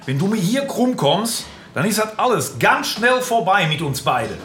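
A man's voice: high voice, very animated, normal speed, a bit bright, a little hiss or hum. Cornered crooked businessman with a swooping voice. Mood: threatening. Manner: blustering, colloquial, evasive. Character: corrupt crooked businessman, cornered, gruff and defiant. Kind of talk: radio crime drama acting.

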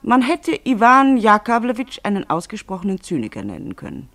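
A narrator reading scripted literary prose for a radio play in a measured, explanatory, mock-formal way. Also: medium pitch, wildly swooping pitch, a bit bright, dry recording.

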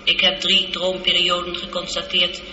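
A woman playing a polite, logical ship's computer in a science fiction radio drama, speaking in a measured, formal, precise way through a reverberant effect. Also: fairly low pitch, completely flat delivery, bright, forceful tone. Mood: gently matter-of-fact. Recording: echoey, dull, lightly noisy radio recording.

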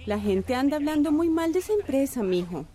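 Radio soap role, woman actor: worried mother, tender but weary of troubles, high and lively pitch. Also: fairly quick. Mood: worried, fed up. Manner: affectionate, anxious. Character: worried, fed-up mother of a grown son. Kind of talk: radio soap opera acting.

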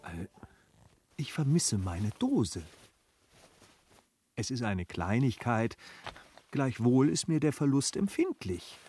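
Courteous suspicious gentleman, medium pitch, animated, articulate, classic radio comedy. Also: takes it slowly, long pauses, a little hiss or hum. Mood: pondering. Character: polite, suspicious gentleman traveller questioning a servant. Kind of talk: German radio play acting of classic comedy.

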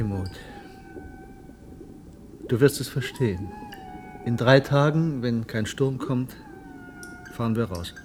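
An actor playing a serious, reserved male researcher in a radio play, speaking in a grave, understated way. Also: mid-range voice. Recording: clear background noise.

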